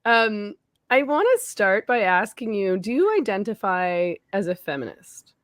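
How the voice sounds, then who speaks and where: A Canadian woman hosting a podcast interview, chatty and relaxed, laughing easily. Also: mid-range voice, unhurried, no room sound, studio quality. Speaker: podcast host. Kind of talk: casual interview chat.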